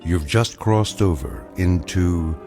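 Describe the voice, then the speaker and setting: Deep, portentous narrator. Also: male voice, animated, over music. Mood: ominous. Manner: measured, portentous. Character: grave, ominous male storyteller of eerie tales. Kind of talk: dramatic scripted narration.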